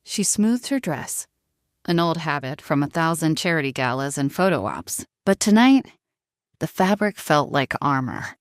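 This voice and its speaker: A woman's voice: sits low, sing-song, unhurried, clean tone, no echo, studio quality. A woman narrating a scripted audio story in a dramatic storytelling style.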